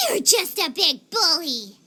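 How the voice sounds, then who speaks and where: A tiny, chirpy fairy companion from an anime game, extremely high and squeaky. The delivery swoops up and down, perky and blunt, with clear noise behind it. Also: female voice, sharp tone, exaggerated voice. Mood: indignant. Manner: perky, outspoken, refers to itself by name. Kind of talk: English anime game voice acting.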